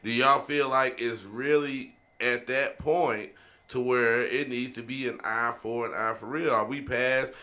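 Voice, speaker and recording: A man's voice: mid-range voice, steady tone, unhurried, pushed and bright. A man hosting a casual round-table podcast, speaking reflectively and putting questions to the others. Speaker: podcast host.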